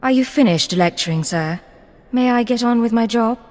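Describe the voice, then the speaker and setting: A woman's voice: fairly high pitch, expressive, normal speed, a little mellow, big-room sound, close to an everyday voice. A woman playing a cool, defiant secret agent in a video game, clipped, dry and self-assured. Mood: cool defiance.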